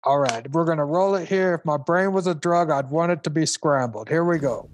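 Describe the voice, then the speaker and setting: A man's voice: a little high, brisk, music in the background, natural voice. A man playing an easygoing, enthusiastic slacker host who talks like a laid-back dude in a comedy radio interview. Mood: upbeat, encouraging. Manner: laid-back surfer-dude talk, constant "dude". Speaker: talk show host.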